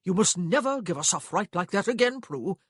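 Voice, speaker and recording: A woman's voice: fairly low pitch, very animated, measured pace, breathy edge, no echo, clean studio sound, exaggerated voice. A woman voicing a fussy, anxious upper-class brother in an audiobook, with an earnest, blustering manner. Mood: stern, agitated.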